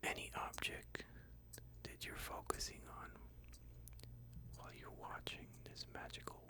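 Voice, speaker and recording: A host whispering a sleep hypnosis session in a breathy, soothing, deliberate way, phrase by phrase.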